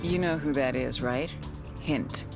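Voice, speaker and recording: An actress playing a tough, sardonic intelligence agent briefing operatives in a game, wry and punchy, with cynical bite. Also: female voice, a bass voice, measured pace, gravelly, exaggerated voice. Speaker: mission briefer. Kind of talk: scripted game cutscene narration. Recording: music bed under the voice.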